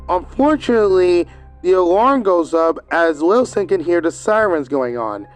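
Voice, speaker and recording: A man giving a casual, rambling video review, recapping with hesitant fillers and restarts. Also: sits high.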